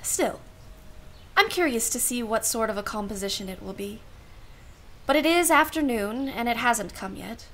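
A woman's voice: a little high, animated, moderate pace, fairly bright tone. A woman playing a wry, world-weary aunt in a radio play, with a dry, arch manner and formal phrasing. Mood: musing, exasperated. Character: wry, world-weary aunt minding her young niece. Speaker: actress.

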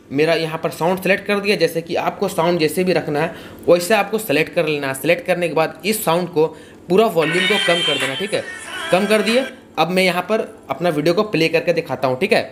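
A man's voice: high-pitched, brisk, loud music behind the voice. A man, giving a casual, repetitive step-by-step tutorial. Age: 20s. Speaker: tutorial host. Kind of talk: casual video-editing tutorial.

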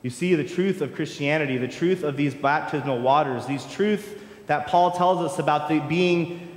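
A man's voice: on the high side, normal speed. A man in his thirties, a pastor preaching a sermon in a large church, building his points through rhythmic repeated phrases. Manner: impassioned preaching with rhythmic repetition. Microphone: distant room mic, wide camera. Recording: echoey church hall, long reverb.

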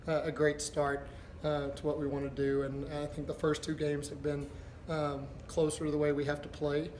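A middle-aged man, a basketball head coach, answering postgame press questions in a halting, thinking-aloud way. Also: fairly high pitch, darkish tone. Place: indoors before a backdrop, a step from camera. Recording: echoey room with background noise.

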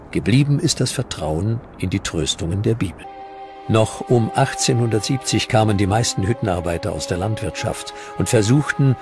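A man narrates a historical documentary in a fairly low voice. He reads from a prepared script, with clearly audible music underneath.

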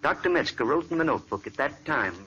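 An earnest doctor. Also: male voice, a little high, lively intonation, quick pace, bright, forceful tone, husky, exaggerated voice. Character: earnest male doctor explaining a case. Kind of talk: 1940s radio acting. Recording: old muffled radio recording.

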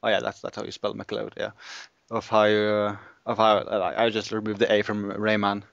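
A man's voice: lowish voice, monotone, normal speed, vocal fry, fairly bright tone, no echo. A man chatting casually and hesitantly as he plans a video, on a somewhat dull recording. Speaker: video creator. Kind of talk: casual chat while planning a video.

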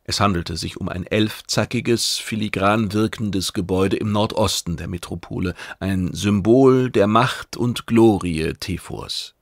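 A male narrator reads a science fiction novel in a very low voice with a gravelly edge. The sound is dry and close, as in a studio audiobook. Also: measured pace, few pauses, dry recording.

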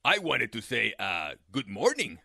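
A man voicing a sly, hungry coyote villain from a storybook, with slow, gravelly, menacing delivery. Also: medium pitch, wildly swooping pitch, sharp tone, no echo, studio quality, voice pushed down, full character voice.

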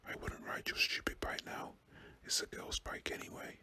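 A man's voice: moderate pace, lightly acted. A man voicing a stubborn, eager young boy from a storybook in a casual whisper. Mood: teasing.

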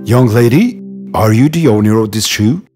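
A noble young prince from a cartoon fairy tale, as a woman narrator puts him on in a lowered voice. He speaks with a low, steady command and a lively rise and fall. Music plays underneath. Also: moderate pace. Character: young male prince and his soldiers. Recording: narration over background music.

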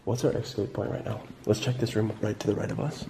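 A man's voice: fairly low pitch, level tone, fast talker, creaky. A young man, chatting casually and cautiously as he explores a building on camera, often asking questions. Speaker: urban explorer filming a video. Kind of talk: casual hushed exploring chat. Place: indoors, abandoned school building at night. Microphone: handheld camera mic. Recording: noisy handheld camera audio, clicks.

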